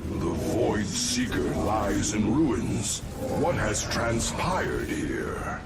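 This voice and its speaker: An actor playing a grave, solemn alien warrior-sage in a video game, speaking formally and deliberately. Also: male voice, on the low side, huge pitch swings, gravelly, very noisy, full character voice. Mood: grave, wary. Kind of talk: dramatic video game acting. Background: loud music.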